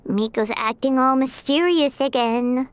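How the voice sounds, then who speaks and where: A woman voicing a small, chirpy, childlike fairy companion who is nosy and eager, in animated video game dialogue. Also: sits high, measured pace, smooth. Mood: curious, puzzled. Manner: refers to self in third person, exclamatory.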